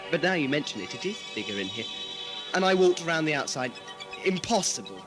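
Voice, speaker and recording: A man acting as a British schoolboy in a sci-fi audio play, music faintly behind. His pitch is middling and moves about a lot. He is polite, hesitant and drily sceptical. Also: sing-song, a little fast. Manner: hesitant, dryly sarcastic. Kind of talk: amateur audio drama acting. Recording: music under dialogue, home-made cassette drama.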